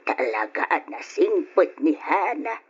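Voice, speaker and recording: A woman playing an older, caring Ilocano mother in an old-time radio drama, with an expressive, sighing delivery. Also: on the low side, a little slow, slightly rough, full character voice. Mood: tender. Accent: Ilocano, from the Philippines. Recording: dull lo-fi old radio recording.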